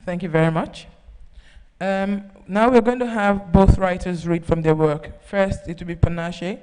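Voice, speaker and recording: Smooth-voiced man moderating a panel. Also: sits high, darkish tone. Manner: brisk, organizing, practical. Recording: reverberant festival hall.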